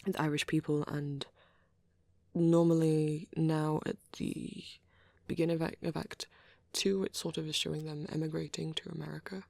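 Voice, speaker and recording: A man playing a shy young male vampire in an ASMR roleplay, rambling hesitantly and apologetically in a soft, breathy voice that often drops to a whisper. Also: fairly high pitch, little pitch movement, no echo. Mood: shy, apologetic. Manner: hesitant, halting, rambling, self-correcting.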